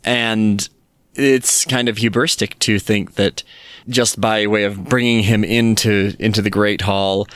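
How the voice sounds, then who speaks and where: Lowish-voiced man in casual podcast chat. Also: fairly even intonation, unhurried, fairly bright tone, clean tone, no echo. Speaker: podcast co-host.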